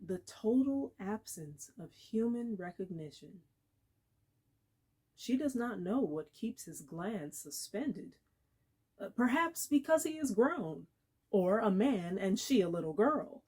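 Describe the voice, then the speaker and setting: A woman reads literary fiction aloud as a dramatic performance. She takes her time, letting phrases land with deliberate pauses. Her delivery is expressive and measured, at a medium pitch. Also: breathy edge. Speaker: actor reading aloud.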